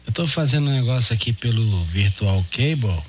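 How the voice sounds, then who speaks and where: A man's voice: medium pitch, expressive, moderate pace, husky. A Brazilian man chatting casually as an amateur radio operator. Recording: dull narrowband radio audio with hum, noise.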